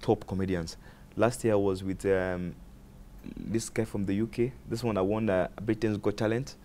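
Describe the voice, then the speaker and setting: A young man with a Nigerian accent, a comedian chatting as a guest in an interview, animated and often repeating words as he searches for them. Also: on the low side, normal speed, a little hiss or hum. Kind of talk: casual interview chat.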